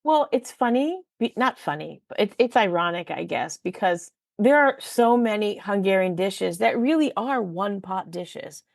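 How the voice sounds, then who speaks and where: Chatty woman podcast host, slightly gravelly. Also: mid-range voice, lively intonation, moderate pace, few pauses, no echo, studio quality. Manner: chatty, self-correcting, laughs often. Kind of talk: casual interview chat.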